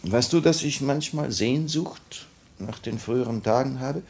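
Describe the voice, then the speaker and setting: A man's voice: mid-range voice, a little slow, creaky, darkish tone, husky. An actor in a 1950s German radio drama playing a brooding, restless male leader of a revolutionary cell, with an intense, theatrical, confiding delivery. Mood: wistful, confessing. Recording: old 1950 radio play recording.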